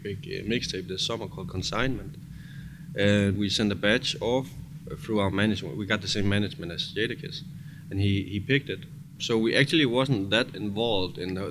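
A man with a Danish accent, a music producer giving casual, emphatic interview answers in informal non-native English. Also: on the low side. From Denmark. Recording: clearly noisy background ambience.

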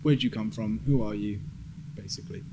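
A man's voice: on the low side, fairly even intonation, brisk, noisy background. A man hosting a podcast in a casual interview style. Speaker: podcast host.

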